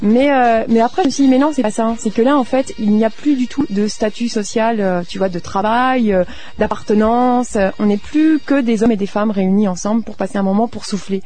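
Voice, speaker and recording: A woman's voice: fairly high pitch, brisk, dark tone, faint music behind. A woman from France hosting radio, telling her reporting stories in an informal, chatty way straight to the listener. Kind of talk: casual spoken storytelling and interviewing.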